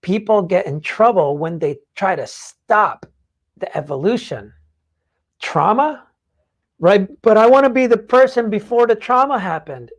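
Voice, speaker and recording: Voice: medium pitch, expressive, unhurried. A podcast host talking casually and emphatically in a rambling way, swearing freely while explaining ideas. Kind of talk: casual talk explaining book takeaways.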